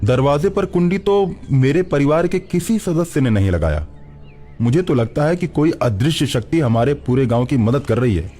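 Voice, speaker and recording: A man voicing a reasoning, reverent village headman who deliberates earnestly in a cartoon story. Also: medium pitch, lively intonation, mellow, faint music behind, close to an everyday voice. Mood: thoughtful, reverent.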